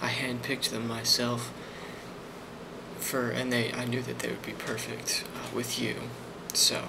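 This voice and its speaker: Over a clearly noisy recording, a man speaks very slowly and softly in an ASMR style. His voice has a creaky fry at a middling pitch. He plays a reassuring boss, pausing often and feeling his way through each phrase. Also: monotone. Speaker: ASMR role-player playing a boss giving a review. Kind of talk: soft-spoken ASMR role play.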